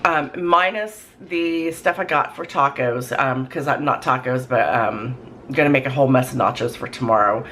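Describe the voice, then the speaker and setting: Hoarse, low-voiced woman. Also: moderate pace, fairly bright tone. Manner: rambling, chatty, folksy with many ums. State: hoarse from a cold. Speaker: cooking vlogger. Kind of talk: casual chat to camera. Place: home kitchen. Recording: noisy home recording.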